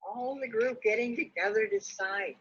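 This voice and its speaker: Woman storyteller, high husky voice, expressive children's read-aloud, dull lo-fi recording. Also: moderate pace. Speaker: librarian reading a storybook.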